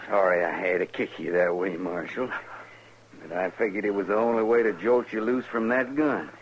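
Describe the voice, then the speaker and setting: A man's voice: fairly low pitch, normal speed, close to an everyday voice. Guy acting a sly menacing cowboy villain, folksy talk, old radio drama, dull and a bit hissy. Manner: folksy drawn threats and sly persuasion. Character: cocky, menacing, wheedling western outlaw. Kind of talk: 1950s radio western acting. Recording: muffled 1950s radio recording with hiss.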